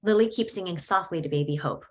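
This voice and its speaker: A woman reading screenplay stage directions at a table read in a steady, descriptive way. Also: on the low side, quick pace.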